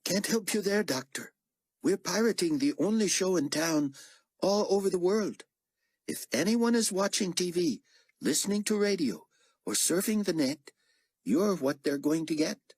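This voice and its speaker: A man's voice: high voice, expressive, a little slow, darkish tone, slightly rough, no room sound, pitched above the performer's own voice, exaggerated voice. A man narrating an audiobook voices a young male tech operative in a raised, clipped tone. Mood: wry, brisk.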